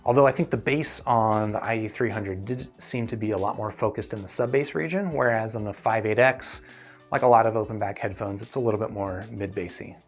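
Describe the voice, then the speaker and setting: A man's voice: on the low side, brisk, faint music behind. A man hosting a casual livestream question-and-answer session, explaining things in a thoughtful, hedging way. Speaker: host reviewing audio gear and answering viewer questions.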